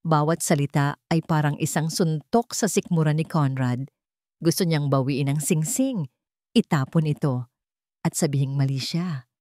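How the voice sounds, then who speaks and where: Female narrator for dramatic love stories; slightly deep, measured, solemn, scripted read, no room echo. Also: dark tone, clean studio sound. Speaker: storyteller.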